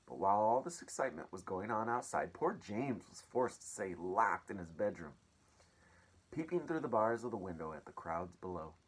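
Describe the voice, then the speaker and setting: Deep husky man reading a story aloud. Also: very animated, moderate pace. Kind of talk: expressive children's story reading.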